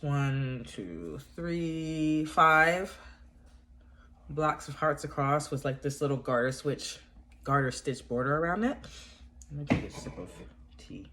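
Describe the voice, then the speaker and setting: A woman with a stuffy, congested voice chatting casually from home to her viewers about crochet, rambling with plenty of fillers and restarts. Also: low-pitched. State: congested from a cold. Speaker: yarn crafting vlogger. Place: at home indoors.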